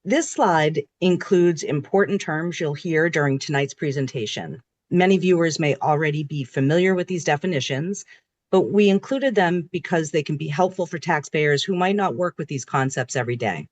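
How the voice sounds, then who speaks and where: A woman who is hoarse from a cold, speaking on a video call as a town assessor and walking through a formal presentation in a methodical, explanatory way. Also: low-pitched, moderate pace, no room sound. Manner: methodical, explanatory, reading from slides. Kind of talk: formal public meeting presentation.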